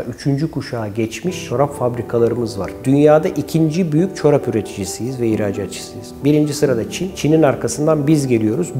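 A man's voice: medium pitch, dark tone. A man in his forties from Turkey, a company chairman, explaining his business matter-of-factly in an interview, recorded indoors on a lapel mic. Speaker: company chairman, industry association head. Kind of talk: documentary interview talk about own business. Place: small office and showroom, indoors. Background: background music.

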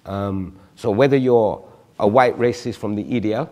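A man's voice: on the low side, normal speed, darkish tone, long reverb tail. A man in his forties, a guest on a studio panel discussion, explaining his view reflectively with examples. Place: TV studio stage.

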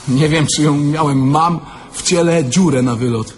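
A man's voice: a little high, slow, faint music behind. An actor playing a crude, restless young thief lying dead in his coffin, in a declamatory radio-play monologue. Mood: brooding, fearful.

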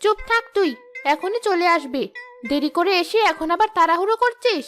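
High-pitched bossy ghost teacher lady. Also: animated, brisk, a bit bright, faint music behind. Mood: irritated. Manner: scolding, sarcastic. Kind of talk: children's cartoon voice acting.

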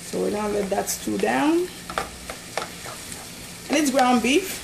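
A woman's voice: fairly high pitch, lively intonation, slow pace, gravelly. A woman hosting a casual cooking demonstration in her home kitchen, chatting and explaining each step as she cooks, recorded on a camera mic from across the room. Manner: chatty, instructive, talks while cooking. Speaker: cooking channel host. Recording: echoey kitchen, distant mic, background noise.

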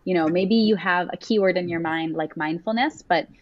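A woman's voice: on the low side, normal speed, dry recording. A young woman elementary teacher talking casually with lots of fillers on a video call from home. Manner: casual, frequent "like" fillers, gestures while talking. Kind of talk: casual panel answer. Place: home room with windows. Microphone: webcam on video call. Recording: dull dry webcam audio.

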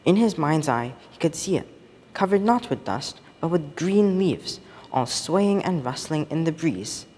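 A teenage male reads a scripted story with measured, expressive phrasing. His voice rings in a reverberant room, with faint noise behind it. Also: fairly high pitch. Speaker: student story reader. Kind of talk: scripted storytelling read aloud.

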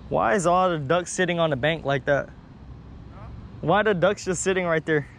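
A man casually vlogging outdoors as he fishes, talking in an excitable, slangy way. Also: fairly high pitch, moderate pace. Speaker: fishing vlogger. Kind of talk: casual outdoor vlog chat. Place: outdoors beside a pond. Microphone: body-worn action camera. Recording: noisy outdoor action camera audio.

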